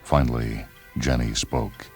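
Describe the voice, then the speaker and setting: A man narrating a short story in a deliberate, dramatic literary delivery, with music behind him. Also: rumbling low, fairly even intonation. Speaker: narrator and reader of short stories.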